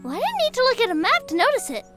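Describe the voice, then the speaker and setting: A woman voicing a cheerful, naive ten-year-old girl in a perky, earnest, expressive cartoon parody dub. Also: very high voice, rapid-fire, over loud music, heavily acted. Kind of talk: animated parody dub acting.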